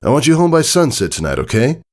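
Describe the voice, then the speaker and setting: A man voicing an easygoing, fussing pony father in a storybook reading, casual and gently nagging. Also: medium pitch, wildly swooping pitch, fast, voice pushed up. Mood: fatherly instructing.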